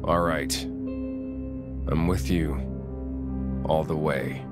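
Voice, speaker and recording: Blunt loyal brawler, bass-low, unhurried, game cutscene, loud atmospheric music. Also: male voice, fairly even intonation, heavily acted. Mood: gruff concern. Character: gruff, loyal man comrade, tough and blunt. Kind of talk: video game cutscene voice acting.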